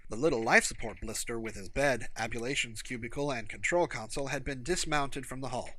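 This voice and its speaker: Male audiobook narrator. Animated pitch, crisp forward edge, dry close sound. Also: no room sound, poor audio. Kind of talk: scripted fiction narration.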